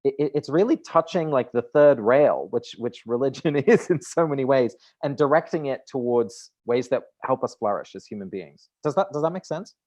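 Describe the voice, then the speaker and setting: A man's voice: mid-range voice, animated, normal speed, few pauses, a little mellow, lo-fi sound. A man in his thirties, a native Dutch speaker, talking through ideas as an interview guest, often restarting and repeating words. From Netherlands. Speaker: guest author. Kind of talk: conversational interview.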